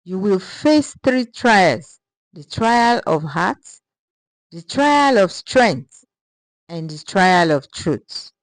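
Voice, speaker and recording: A woman voicing a wise village elder woman, solemn and gentle, with a measured, soft but firm delivery in a folktale. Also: medium pitch, very animated, dry recording, exaggerated voice, poor audio. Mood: grave.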